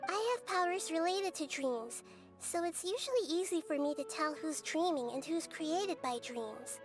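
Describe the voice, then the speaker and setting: A woman voicing a gentle little girl deity in a video game, light and childlike, composed as she explains. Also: very high voice, lively intonation, normal speed. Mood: calm, assured. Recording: loud game music behind voice.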